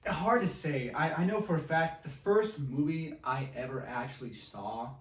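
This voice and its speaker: A man's voice: on the high side, normal speed, a little hiss or hum. A man, chatting casually and slangily as a film podcast co-host, recorded in an echoey room at some distance from the microphone. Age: 20s. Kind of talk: casual chat. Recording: roomy echo, distant from microphone.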